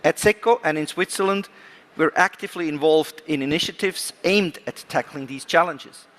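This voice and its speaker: Higher-pitched man giving a formal speech. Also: a bit bright. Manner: measured rhetorical delivery with emphasized key words. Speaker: government official giving a speech. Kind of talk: prepared formal conference speech. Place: conference event, speaking to an audience.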